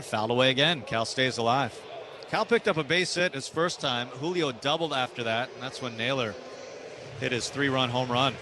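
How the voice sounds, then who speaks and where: A man's voice: medium pitch, background noise. A man with an American accent calling live baseball play-by-play on radio in short, clipped phrases.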